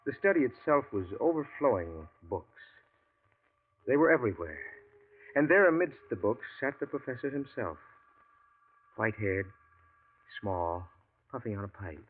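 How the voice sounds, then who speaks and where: A man's voice: mid-range voice, big pitch swings, moderate pace, pauses often, slight background noise, lightly acted. Guy doing a jittery 30s writer, stammers a lot, tinny old radio. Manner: anxious, stammering, urgent. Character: nervous male writer of thirty-five. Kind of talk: 1940s radio acting.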